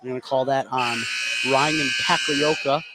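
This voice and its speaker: A man's voice: mid-range voice, fairly even intonation, unhurried. A man doing live radio play-by-play of a basketball game, following the action in short clipped phrases. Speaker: play-by-play sports announcer. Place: indoor high school gym. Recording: live sports broadcast with clear gym noise. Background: clear game noise.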